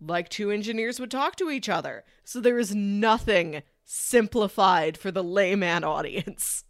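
A woman's voice: mid-range voice, animated, a little slow, no room sound, studio quality. A woman co-hosting a podcast, chatting casually about movies. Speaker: podcast co-host. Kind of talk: casual movie discussion chat.

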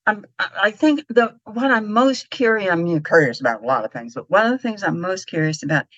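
A woman's voice: medium pitch, lively intonation, a little fast, fairly bright tone, no room sound. A woman hosting an interview, asking questions hesitantly while searching for her words. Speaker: podcast host. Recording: dry, dull lo-fi sound.

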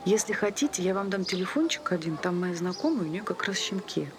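A woman's voice: lowish voice, lively intonation, brisk, close to an everyday voice. A woman playing a nosy, preachy dog lover who chats and scolds in a stage play. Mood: chatty, eager. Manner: chatty, preachy, reproachful. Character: nosy, pushy dog-loving woman in a park. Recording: noisy stage recording with ambient sound.

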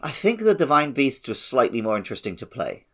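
A man's voice: mid-range voice, big pitch swings, a little fast, a bit bright. A man hosting a podcast, talking casually about video games in a conversational way. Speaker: podcast host. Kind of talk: casual gaming podcast chat.

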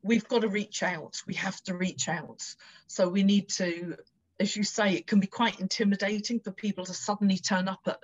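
A woman's voice: medium pitch, fairly even intonation, moderate pace, breathy edge. Irish lady guest, chatty and encouraging, a bit lo-fi sounding. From Ireland. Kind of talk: casual interview chat.

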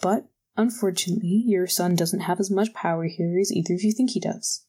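A woman's voice: fairly low pitch, fairly even intonation, a little fast, dark tone, natural voice. A woman voicing a cool, businesslike female team manager who speaks matter-of-factly.

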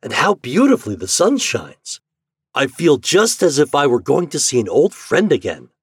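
Happy fairy-tale father, as a male reader plays him, raised pitch, animated, wonderstruck, kindly, expansive. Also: normal speed, slightly rough, dry recording, studio quality, full character voice. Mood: blissful.

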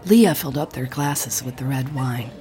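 A woman's voice: a bass voice, moderate pace, warm tone, faint music behind. A woman narrating scripted audio fiction as a podcast host.

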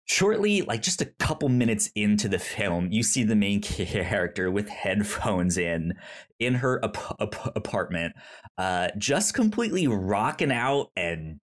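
Man with a pronounced stutter, slow-paced podcaster. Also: lowish voice, big pitch swings. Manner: enthusiastic, rambling. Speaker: film podcast co-host. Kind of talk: casual film discussion.

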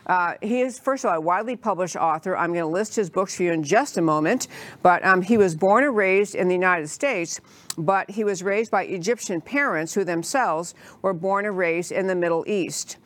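A woman's voice: lowish voice, normal speed. A woman hosting a talk show, introducing her guest with chatty enthusiasm and frequent fillers. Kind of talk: interview show introduction and questions.

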